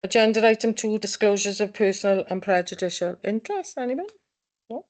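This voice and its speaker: A woman chairing a formal council panel, moving briskly through the agenda items. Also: medium pitch, darkish tone. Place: council meeting room.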